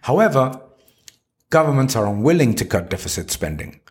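A man reads a scripted article aloud in a mid-range voice. His intonation swings widely up and down. He phrases each point with deliberate emphasis, as a narrator presenting an argument. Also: mid-range voice, very animated. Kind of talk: scripted reading of an economics article.

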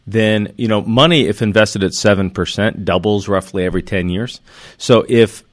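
A man with a fairly low voice talks through money matters earnestly and with emphasis. He builds his points on worked examples and often restarts mid-sentence. The sound is dry and close, with almost no room echo. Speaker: financial commentator. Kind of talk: explanatory talk to camera.

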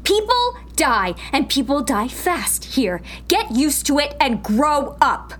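A woman's voice: sits high, sing-song, takes it slowly, exaggerated voice. A woman playing a hard-bitten, sarcastic rebel technician in a sci-fi radio drama, curt and pragmatic. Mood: defensive, scornful. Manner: curt, snappy, scornful.

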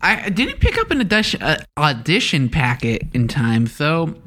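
A male co-host with a playful, boastful streak. His voice sits slightly high and swings up and down a lot. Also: huge pitch swings, moderate pace, bright, forceful tone. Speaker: student podcast co-host. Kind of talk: casual chat.

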